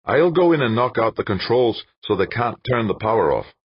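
A man's voice: medium pitch, very animated, normal speed, breathy edge, dry recording, lo-fi sound, voice pushed up. A man voicing a ruthless male saboteur who gives curt, bossy orders.

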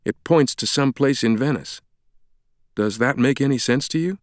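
A man voicing a brisk, blunt woman doctor in an audiobook, direct, assertive and matter-of-fact. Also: mid-range voice, no room sound, studio quality, pitched above the performer's own voice, lightly acted. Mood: probing. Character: brisk, blunt young woman doctor who takes charge.

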